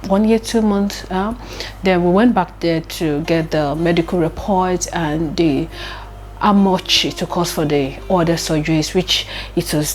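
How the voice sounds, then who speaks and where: A woman's voice: fairly low pitch, darkish tone, slight background noise. An earnest, emotional Nigerian woman whose first language is Igbo tells a personal story in her vlog, often repeating phrases. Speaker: vlogger.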